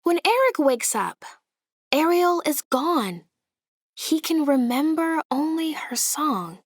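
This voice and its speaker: A woman narrating a children's storybook aloud in an expressive way, with deliberate, dramatic emphasis. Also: sits high, dry recording, studio quality.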